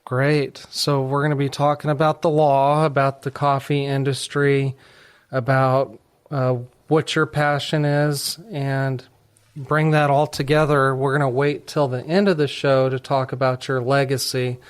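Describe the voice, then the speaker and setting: A man with a Texan accent hosting a legal radio talk show in a steady, measured way. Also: medium pitch, clear, resonant tone. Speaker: attorney and radio host.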